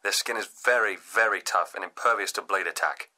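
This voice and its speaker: A man playing a dry, scholarly mission guide in a video game, with a measured, wry briefing manner. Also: low voice, bright, forceful tone, exaggerated voice. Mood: explanatory.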